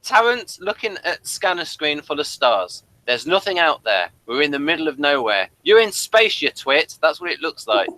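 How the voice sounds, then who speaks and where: A man's voice: a little high, big pitch swings, normal speed, hardly any pauses, pushed and bright, no room sound, rough recording. A man hosting a casual review of a TV series, reading from notes with dry, playful asides.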